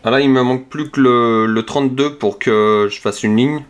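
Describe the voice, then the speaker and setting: A man calmly talks through each number as he scratches lottery tickets, casual and methodical. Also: medium pitch, moderate pace, clean tone. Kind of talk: casual narration while scratching cards. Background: scratching sounds.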